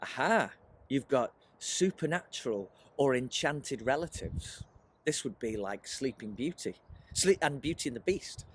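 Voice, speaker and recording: A man leading a children's writing workshop in an animated, encouraging presentational style, often putting questions to his listeners. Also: mid-range voice, unhurried, a little hiss or hum. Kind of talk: presentational teaching aimed at children, with rhetorical questions.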